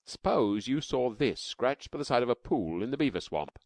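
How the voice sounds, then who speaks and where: Man doing a cave-man dad, patient, explaining, lively, dry close voice. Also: mid-range voice, moderate pace, vocal fry, no echo, voice pushed up. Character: fond, excited cave-man father.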